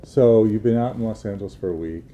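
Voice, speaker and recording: A male interviewer who asks quick, probing questions at a rapid clip. His voice is low-pitched and very dark, with faint background noise behind it. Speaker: tech journalist, interviewer.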